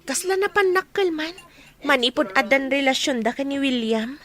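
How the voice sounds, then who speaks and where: A woman's voice: high-pitched, lively intonation, normal speed, a bit bright. A woman with an Ilocano accent playing a wistful, reserved young daughter in a radio drama. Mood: confiding.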